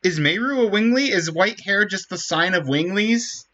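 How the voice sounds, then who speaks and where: Man casually commentating, lively pitch. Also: normal speed, muffled recording. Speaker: streamer. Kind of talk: casual game-stream commentary.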